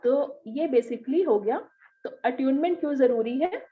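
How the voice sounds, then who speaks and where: A woman with a high, lively voice teaches an online class, explaining step by step and often checking that her listeners follow. Her throat is slightly hoarse. The audio is dull and lo-fi, like a video call. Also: measured pace. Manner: explanatory, often asks "ठीक है?" to check understanding. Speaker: Reiki teacher.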